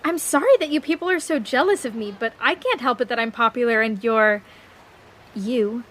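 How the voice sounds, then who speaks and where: Woman acting vain young newswoman, higher-pitched, haughty, cutting, audio drama. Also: normal speed, a bit bright. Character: vain, snobbish young woman news reporter.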